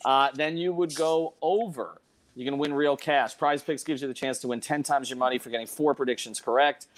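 A man's voice: mid-range voice, fairly even intonation, brisk. A man hosting a sports talk show in a casual, conversational style. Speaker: podcast host.

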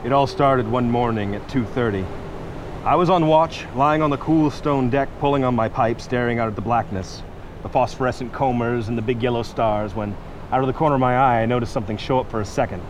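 A man plays a lighthouse keeper telling a horror story in an old radio play. His medium-pitched voice is vivid, dramatic and full of suspense. The recording has clear noise behind it. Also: a little fast, natural voice. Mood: brooding suspense. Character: intense lighthouse keeper recounting a horror tale. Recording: noisy vintage radio recording.

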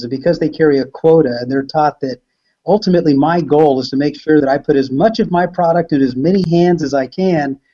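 Male guest sales trainer, earnest, mellow tone, slightly dull remote audio. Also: on the high side. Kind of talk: interview answer.

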